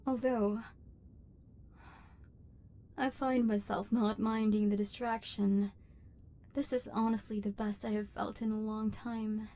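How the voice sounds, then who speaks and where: A woman voicing a lonely, wounded female robot overlord in an ASMR roleplay, speaking in formal, measured, machine-like phrases, guarded but yearning. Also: medium pitch, flat delivery, dark tone. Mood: tender, tentative hope.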